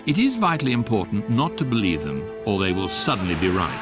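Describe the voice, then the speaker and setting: An actor voicing a wry electronic guidebook, narrating a comic science fiction radio drama in a measured, deadpan way. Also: male voice, fairly low pitch, music in the background. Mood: wry, instructive.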